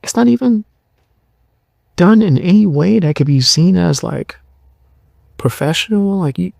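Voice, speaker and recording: A tired young man hosting a podcast, giving blunt, mocking commentary in loose slang with a low voice. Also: unhurried, gravelly, darkish tone, dry recording, studio quality. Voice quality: lower voice. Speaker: podcast host. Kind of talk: casual commentary.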